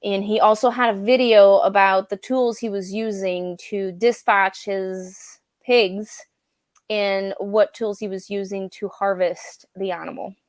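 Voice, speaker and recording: A woman with a French Canadian accent chats on a live stream, laughing easily and pausing to find the right word. Her voice sits at an everyday middle pitch. The sound is dry and close, with no room around it. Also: steady tone, no echo. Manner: chatty, laughs easily, searches for words. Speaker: co-host of a homestead live stream. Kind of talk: casual live-stream chat.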